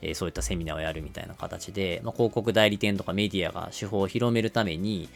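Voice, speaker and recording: A man's voice: deep voice, fast talker, creaky, soft background music. A man co-hosting a podcast, explaining business matters conversationally in long, connected runs. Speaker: podcast co-host explaining marketing.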